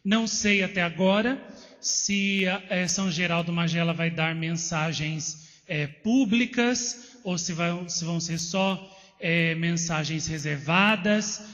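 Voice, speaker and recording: A Brazilian man in his thirties giving a slow, earnest devotional talk to pilgrims in a shrine chapel, pausing on "eh" and "né". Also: sits high, a bit bright. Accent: Brazilian Portuguese. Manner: slow, earnest, fills pauses with "eh" and "né". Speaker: visionary addressing pilgrims. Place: indoor shrine chapel with statues. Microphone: handheld microphone. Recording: reverberant, dull lo-fi video sound.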